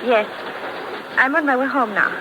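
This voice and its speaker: A woman's voice: high-pitched, normal speed, close to an everyday voice. An actress playing a polite young woman, speaking in careful, formal phrases in 1950s radio drama style. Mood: polite, friendly. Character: polite young Portuguese woman traveling home by train. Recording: noisy old 1950s radio recording.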